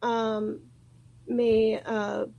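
A woman's voice: on the high side, level tone, extremely slow, creaky, muffled recording. A woman with an American accent, a native English speaker and beginner in French, hesitantly and shyly searching for each word. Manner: hesitant, nervous, shy, searching for words. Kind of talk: halting conversation practice.

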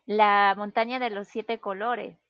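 A Peruvian woman with a Peruvian Spanish accent talks very slowly and patiently. Her voice comes through a dry, slightly muffled video call. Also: mid-range voice, steady tone, no room sound. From Peru. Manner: slow, patient, explains with pauses. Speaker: conversation partner. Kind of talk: casual conversation.

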